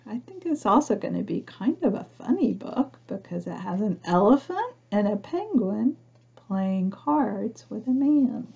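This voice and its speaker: A woman reads a picture book aloud to young children, pausing to explain things simply and ask the listener questions. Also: medium pitch, gravelly, warm tone, slightly rough, muffled recording. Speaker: storybook reader for young children.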